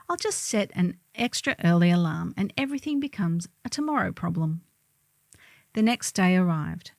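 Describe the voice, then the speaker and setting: A woman's voice: lowish voice, a little mellow, no room sound, studio quality. A middle-aged woman reading a humorous first-person column in a wry, theatrical storytelling manner.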